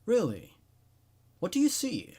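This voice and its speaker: A man's voice: sits high, huge pitch swings, a little slow, mellow, husky, voice pushed up, exaggerated voice. A man voicing a curious, probing male psychiatrist in a horror story, with an inquisitive manner.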